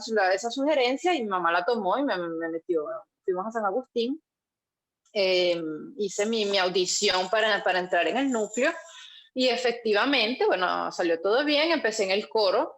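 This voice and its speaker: A woman's voice: medium pitch, expressive, moderate pace, a bit bright. Female guest, Venezuelan accent, young adult, loose and chatty with lots of fillers. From Caracas, Venezuela. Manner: chatty, many fillers like "este" and "bueno". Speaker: guest musician. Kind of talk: casual interview chat.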